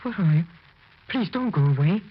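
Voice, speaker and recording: A man's voice: high-pitched, animated, normal speed, husky. An actor playing a grief-stricken, obsessed widower pleading melodramatically for his dead wife in a 1940s radio horror drama. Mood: desperate pleading. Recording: muffled, noisy old radio broadcast.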